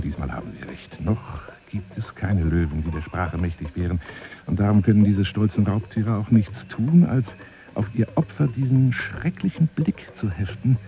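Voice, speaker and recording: A lonely, eccentric man wooing a woman in a radio play. His voice is deep and velvety dark. He speaks in a florid, self-dramatizing way, often sinking into hushed, confiding asides. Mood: wistful, courting.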